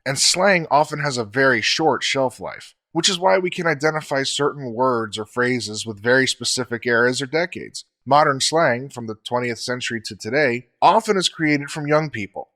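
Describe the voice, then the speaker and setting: A man co-hosting a podcast, explaining facts in a measured, scripted way. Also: mid-range voice, pushed and bright.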